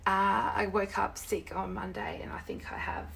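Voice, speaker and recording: A young woman with a croaky, sore-throated voice, hosting a casual live craft tutorial from her desk at home. She rambles chattily, greets viewers by name and keeps apologising. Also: medium pitch, fairly even intonation, normal speed, fairly bright tone, in a whisper. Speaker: craft demonstrator and live-stream host.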